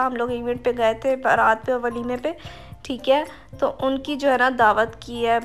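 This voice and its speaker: Chatty high-voiced woman. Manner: chatty, repeats filler phrases, step-by-step instructions. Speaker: cooking vlogger. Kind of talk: casual cooking voice-over.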